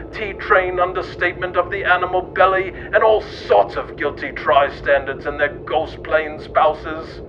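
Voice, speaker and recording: High-voiced man, scripted comic storytelling, muffled sound, rumbling background noise. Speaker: narrator. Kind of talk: scripted narration of absurd comic history. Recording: muffled, noisy recording with low rumble.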